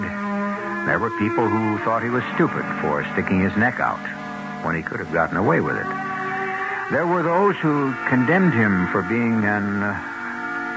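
Vintage radio host: man, wry narration, lowish pitch, very expressive phrasing. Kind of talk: scripted 1970s radio narration. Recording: muffled radio recording with loud music.

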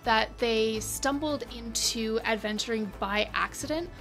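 Higher-voiced, enthusiastic woman in casual conversation. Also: measured pace, soft background music. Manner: enthusiastic, expressive. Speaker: guest tabletop role-playing player.

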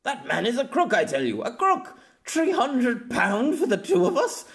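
A man's voice: very high-pitched, wildly swooping pitch, moderate pace, creaky, a bit bright, voice pushed up, full character voice. A man voicing a flustered, boastful middle-aged man in an audiobook, stammering and blustering. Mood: outraged.

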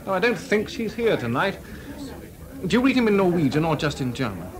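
An actor playing a witty young composer in a 1980s radio drama, talking dryly and ironically. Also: male voice, high-pitched, sing-song, a little fast. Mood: wry. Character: composer Delius, young man, wry and witty. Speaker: lead actor. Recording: party crowd behind.

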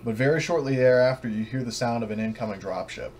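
A man narrating an online tabletop roleplaying game as game master, sometimes halting, over voice chat. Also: mid-range voice, a little mellow, a little hiss or hum.

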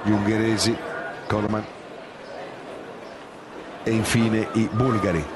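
Slow, measured male race commentator. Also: fairly low pitch, little pitch movement, heavy background noise. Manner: measured, calls boats and lanes in short phrases. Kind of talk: live sports commentary.

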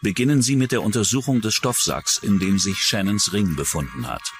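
A man's voice: fairly low pitch, lively intonation, a little fast. A man narrating a true-crime documentary in a scripted style. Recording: music under the voice. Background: background music.

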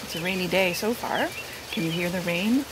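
A middle-aged woman, a teacher, reads a picture book aloud expressively, outdoors under a roof. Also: fairly low pitch. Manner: unhurried, animated. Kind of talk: expressive read-aloud storytelling. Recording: rain noise behind the voice.

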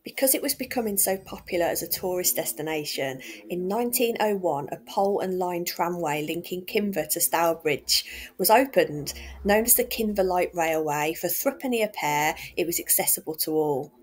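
A woman's voice: fairly low pitch, animated, moderate pace. A woman from England narrating a walking tour in a friendly, chatty, enthusiastic way. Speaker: vlogger and tour guide.

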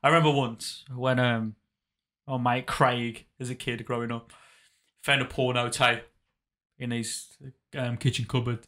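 A man's voice: mid-range voice, steady tone, measured pace, sharp tone. A young man, co-hosting a casual podcast in a small home studio, teasing and cheeky. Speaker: podcast co-host. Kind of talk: casual chat. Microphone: studio mic on boom arm, headphones.

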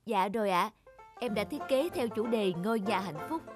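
A woman's voice: medium pitch, animated, normal speed, music in the background, lightly acted. A woman voicing an earnest, polite young male architecture student in a cartoon moral tale, with neat enunciation. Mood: eager, polite. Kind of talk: animated moral tale dubbing.

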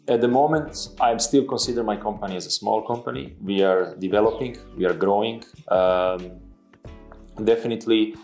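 A man with a Serbian accent giving halting, hesitant interview answers as a company boss, often repeating words. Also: on the low side, darkish tone. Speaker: company CEO, interview guest. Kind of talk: interview answers about his business. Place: indoor studio at a desk. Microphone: clip-on lapel mic. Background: background music.

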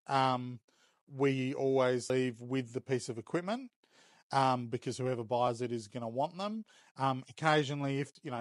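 A man in his fifties with an Australian accent, explaining things casually in a measured, matter-of-fact way with frequent hesitations. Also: medium pitch, no room sound. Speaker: auction house operator. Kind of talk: casual explanatory interview talk. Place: indoors, warehouse auction rooms and workshop.

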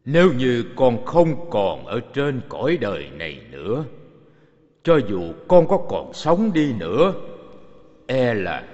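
An actor playing a wealthy old father, a sighing yet commanding master in an animated drama. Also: mid-range voice, slow pace. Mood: sorrowful longing. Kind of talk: dubbed animated Buddhist drama. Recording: muffled, long studio reverb.

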